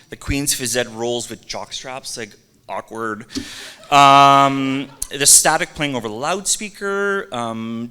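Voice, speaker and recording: A man in his forties from Canada gives a hall of fame speech with a bright, forceful voice. He teases his former teammates in a joking way and fills his pauses with lots of ums. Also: mid-range voice, clear, resonant tone. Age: 40s. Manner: joking, teasing, lots of um fillers. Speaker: former player giving an induction speech.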